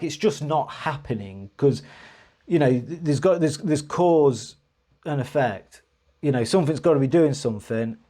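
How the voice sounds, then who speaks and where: Guy vlogging his own story, rambly and hesitant, lots of restarts, mellow warm tone. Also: mid-range voice. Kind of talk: personal storytelling to camera.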